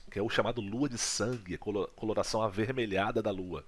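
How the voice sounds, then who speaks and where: A man's voice: lowish voice, fast. A man from Brazil teaching a physics video lesson, checking in with his students often. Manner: lively teacher, checks in with "legal?" and "tá?".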